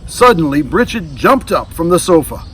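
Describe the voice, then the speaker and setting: Male storybook reader, fairly high animated voice, casual asides, a little far from the mic. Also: moderate pace, slight background noise. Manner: adds asides to young listeners. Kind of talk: children's read-aloud narration.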